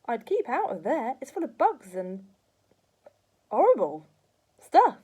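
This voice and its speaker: A woman's voice: high voice, sing-song, fairly quick, dark tone, voice pushed up. A woman reading aloud and voicing a worried young boy pleading with his grandmother. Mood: anxious pleading. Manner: pleading, anxious.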